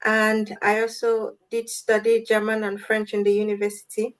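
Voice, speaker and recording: A woman's voice: mid-range voice, completely flat delivery, normal speed, poor audio. A young woman with a Nigerian accent, a guest in an interview, earnest and reflective with light amusement. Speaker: guest, language advocate. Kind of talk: interview answers.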